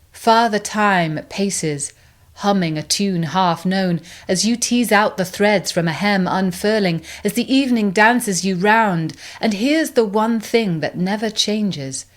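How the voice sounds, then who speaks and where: A woman reciting poetry in a measured way, phrase by phrase. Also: medium pitch. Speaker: poetry reader.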